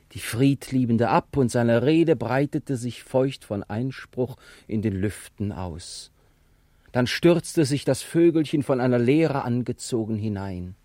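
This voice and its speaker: A man narrates ornate literary prose for a radio play. His voice is medium in pitch with a dark, mellow colour. The sound is dry and close to a studio reading. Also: no room sound.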